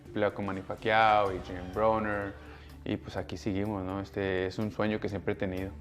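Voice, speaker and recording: A man's voice: fairly low pitch, flat delivery, normal speed, soft background music. A man who boxes professionally, answering interview questions casually. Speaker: professional boxer being interviewed.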